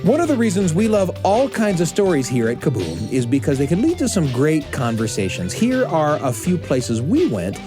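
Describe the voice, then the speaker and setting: A man's voice: a little high, huge pitch swings, normal speed, few pauses, over music. A man hosting a podcast in a conversational style. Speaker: podcast host.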